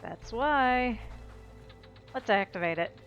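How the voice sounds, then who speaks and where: A woman's voice: a little high, animated, slow pace, dull audio, soft background music. A woman giving casual, chatty commentary as the host of a video game playthrough. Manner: informal, chatty. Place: voice-over on game audio.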